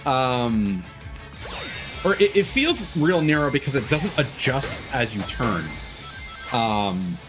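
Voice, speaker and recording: Loud game music plays behind a man with a slightly ordinary, middle-pitched voice. He is live streaming a video game, giving casual commentary. His talk is rambling and opinionated, like someone thinking aloud to viewers. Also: sing-song, slow.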